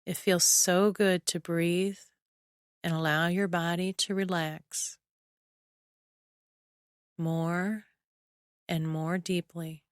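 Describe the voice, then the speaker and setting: Woman doing guided meditation, lowish voice, really slow and soothing, super dry close sound. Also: level tone, clear, resonant tone, dry recording. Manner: soothing, measured, with short phrases and long pauses. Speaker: guided imagery meditation narrator. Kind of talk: guided relaxation meditation, scripted narration.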